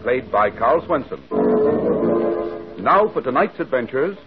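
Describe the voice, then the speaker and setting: A man's voice: medium pitch, big pitch swings, a little slow. A man announcing and narrating an old radio drama in scripted style. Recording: muffled old radio broadcast. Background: music.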